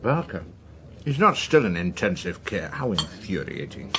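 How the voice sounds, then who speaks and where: An actor playing an anxious, irritable senior politician in a radio drama, with a British accent and a blunt, grumbling manner. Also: male voice, deep voice, big pitch swings, moderate pace, a bit bright, a little hiss or hum. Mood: grave, uneasy.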